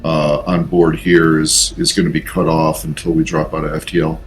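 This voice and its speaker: Deep-voiced man in a tabletop game. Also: level tone, moderate pace, a little hiss or hum. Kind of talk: casual game discussion.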